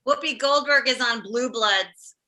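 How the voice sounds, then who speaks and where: A woman's voice: on the high side, measured pace, sharp tone. A woman playing a self-absorbed but well-meaning mother of forty-five in a comedy table read, emphatic and fussing. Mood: put-upon, insistent.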